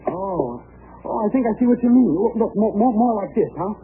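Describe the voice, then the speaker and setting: An actor playing an earnest, bashful young college student, stammering and deferential, in an old radio comedy-drama. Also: male voice, high voice, animated, a little fast, noisy background. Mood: grateful, earnest. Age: young adult. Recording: old narrow-band radio broadcast with music.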